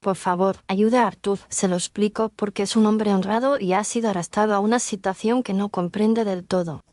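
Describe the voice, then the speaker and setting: A woman's voice: mid-range voice, fairly even intonation, normal speed, a little mellow, no echo, clean studio sound, voice pushed up, lightly acted. A woman voicing a loyal young Victorian governess, polite, formal and imploring, in an audio detective story. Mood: earnest, imploring. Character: earnest, loyal young Victorian governess pleading for her fiancé.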